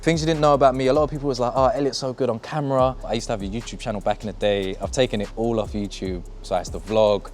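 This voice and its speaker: A man's voice: mid-range voice, steady tone, fast, few pauses, a little mellow, faint music behind. A man chatting casually to camera about himself, upbeat and laid-back. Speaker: company staff.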